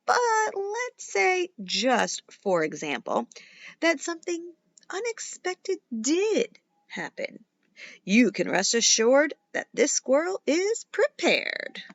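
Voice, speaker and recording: A woman with a high, unhurried voice reads a picture book to young children. She is playful and dramatic, leaning into key words and pausing for effect. The sound is slightly dull and lo-fi. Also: huge pitch swings, gravelly, dry recording. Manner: dramatic, playful, with emphatic pauses and whispers. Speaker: teacher reading a children's picture book aloud. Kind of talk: expressive children's storybook read-aloud.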